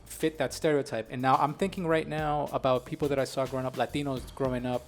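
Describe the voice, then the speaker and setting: A man from New York co-hosts a relaxed podcast chat, speaking in an easygoing way. He hesitates often, filling pauses with ums and starting sentences over. Faint music sits behind his voice. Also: medium pitch. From New York, of Colombian family. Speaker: podcast co-host. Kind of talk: casual chat.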